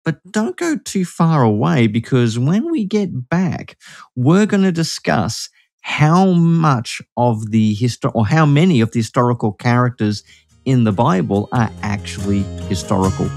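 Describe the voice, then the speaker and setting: A man's voice: mid-range voice, very animated, unhurried, gravelly, darkish tone, no room sound, clean studio sound, faint music behind. A man hosting a podcast, reading listener questions aloud and putting them to his guest in an upbeat way. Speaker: host.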